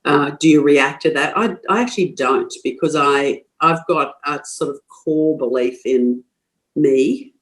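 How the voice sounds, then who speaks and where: A woman's voice: sits low, a little slow. An older woman with an Australian accent from Queensland, a guest in a podcast interview, talking frankly and forthrightly with frequent restarts. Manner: frank, forthright, laughs easily, many restarts.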